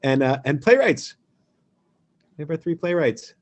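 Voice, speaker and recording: A man hosting an informal online event over a video call, welcoming people in a halting, conversational way. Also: fairly high pitch, lively intonation. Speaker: host.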